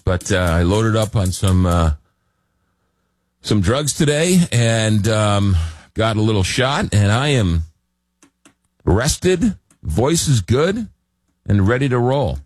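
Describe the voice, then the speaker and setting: A man's voice: fairly low pitch, very animated, slow, long pauses, darkish tone, dry recording. A man hosting a late-night radio talk show, rambling with many fillers and restarts while getting over a cold. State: recovering from a cold.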